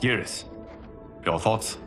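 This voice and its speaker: An actor playing a calm, resolute god and leader in a video game, speaking in a measured, formal way. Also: male voice, soft background music. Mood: solemn, resolute. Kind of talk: dramatic video game cutscene acting.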